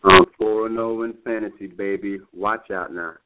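A man's voice: on the low side, fairly even intonation, moderate pace. Boastful mid-voiced man on a phone line. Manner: boastful, playful. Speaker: show regular. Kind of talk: casual sports banter.

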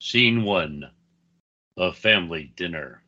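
A male announcer reads radio play titles slowly in a low voice. The recording is muffled, with loud string music behind him. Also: animated. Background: loud violin string music.

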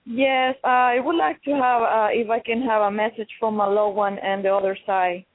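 A woman calling in to a radio show, speaking in a soft and hesitant way. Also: fairly high pitch, fairly even intonation, normal speed, no room sound. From Ecuador. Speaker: caller. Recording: tinny phone line.

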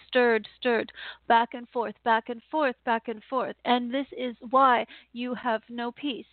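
A woman's voice: fairly high pitch, dry recording. A woman channeling a spirit collective, tinny. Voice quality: slow, repetitive, halting delivery with sighs. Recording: tinny phone-like line, dry.

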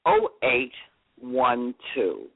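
A woman in her seventies from Connecticut, telling stories as a guest in a radio interview in a chatty, lively way. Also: rumbling low, extremely slow, vocal fry, dry recording. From Connecticut, USA. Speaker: fashion designer guest. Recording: dry, tinny phone-like radio sound.

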